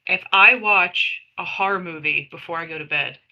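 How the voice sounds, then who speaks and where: A woman's voice: fairly low pitch, normal speed, lo-fi sound, natural voice. A woman playing a blunt, exasperated friend in a tabletop game, stammering through casual explanations. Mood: exasperated, explaining.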